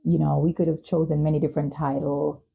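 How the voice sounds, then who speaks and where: A woman's voice: deep voice, level tone, quick pace, creaky, mellow. A woman hosting a talk show, asking conversational questions in an affirming way, on dull audio. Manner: affirming, with frequent short acknowledgements. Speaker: talk show host, interviewer.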